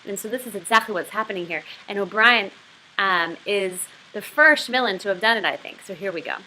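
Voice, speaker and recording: A woman's voice: mid-range voice, a little fast, bright, forceful tone, slight background noise, rough recording. A woman giving an explanatory lesson as a writing instructor, talking through a literary passage.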